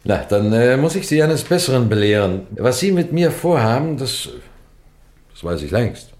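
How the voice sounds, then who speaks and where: A man's voice: mid-range voice, big pitch swings, normal speed, darkish tone, natural voice. A man playing a wily, composed police detective in an old-fashioned radio crime play, courteous and teasing in a theatrical way. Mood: calm, taunting. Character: wily, composed male police detective telling his own case. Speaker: narrator and lead actor.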